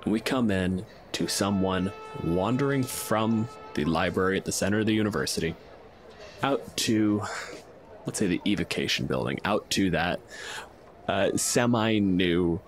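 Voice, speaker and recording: A man with a low voice speaks as a podcast host and game master. He talks casually and builds scenes off the cuff, with faint music in the recording. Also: expressive, unhurried. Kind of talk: casual discussion and improvised tabletop game narration.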